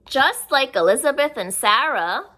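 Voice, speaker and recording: A woman's voice: high-pitched, expressive, a little slow, sharp tone. A woman reading a picture book aloud to young children, expressively and with simple explaining asides. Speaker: children's story reader.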